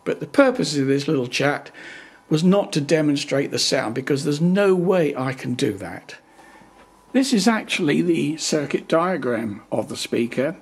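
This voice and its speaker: An older man with a slightly husky voice walks through the details of his hi-fi speakers at an easy, chatty pace. He is plain-spoken and drily wry. The sound comes from a camera's own microphone in a living room at home. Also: fairly high pitch, animated, unhurried. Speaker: hobbyist reviewer. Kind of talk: casual technical explainer. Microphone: built-in camera microphone.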